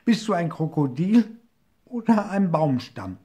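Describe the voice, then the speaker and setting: A man voicing a cheeky, playful little deer who teases and tricks, calling out in a high, gleeful voice in a storybook reading. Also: level tone, drawn-out words, dark tone. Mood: curious calling. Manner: high, gleeful, teasing calls.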